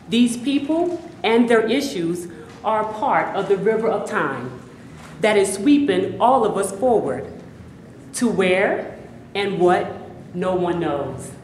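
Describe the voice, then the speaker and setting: A woman reading a prologue poem and addressing the audience in a measured, solemn way. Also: mid-range voice, a little mellow, slight background noise. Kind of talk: staged reading narration. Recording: echoey hall, voice from far off.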